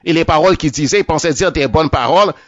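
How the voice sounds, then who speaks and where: A man with a fairly high voice gives a religious testimony in a plain, unscripted way, often stumbling and saying words twice before he goes on. The recording is dry and close, with a dull, lo-fi sound. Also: a bit bright, no echo. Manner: repeats words often, halting restarts.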